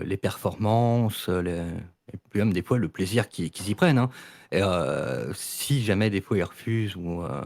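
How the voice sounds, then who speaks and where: A man argues his view in colloquial speech. His voice is on the low side and the sound is very dry. He often doubles back on words as he makes his case. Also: no room sound. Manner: opinionated, colloquial, stumbling over repeated words. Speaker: panelist in a debate. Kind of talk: casual, opinionated debate talk.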